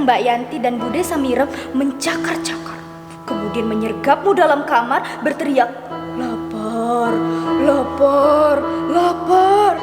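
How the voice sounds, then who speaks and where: A woman playing a wry older sister who dodges questions and scolds her younger sibling, in a staged dramatic reading. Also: high-pitched. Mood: mocking. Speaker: dramatic reader. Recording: echoey hall with long reverb.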